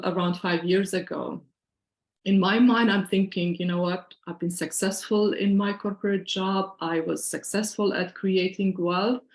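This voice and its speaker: A woman's voice: fairly low pitch, vocal fry, roomy sound. A middle-aged woman from Syria with a Syrian accent, presenting an online financial wellness workshop in an earnest, explanatory, conversational way over a video call.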